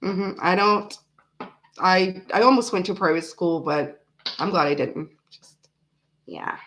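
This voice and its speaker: A woman's voice: on the low side, slow, a bit bright. Chatty woman with American-Canadian accent. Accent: mixed American and Canadian. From Canada, raised in South Florida. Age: 40s. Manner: rambling, chatty, many fillers and restarts. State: tired. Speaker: livestream host. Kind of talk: casual chat reading live comments.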